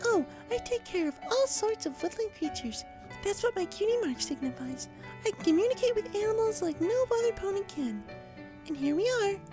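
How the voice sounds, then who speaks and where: A woman voicing a gentle, kindly young female pegasus pony, speaking in a friendly and patient way in a story reading. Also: very high voice, lively intonation, a little fast. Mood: proud, welcoming. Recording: music clearly under the voice.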